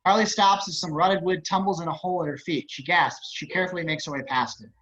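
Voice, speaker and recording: Male stage-directions reader, slightly high voice, scripted narration, lo-fi online call sound. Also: fast, fairly bright tone. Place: indoors, on a video call. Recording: dull video-call audio.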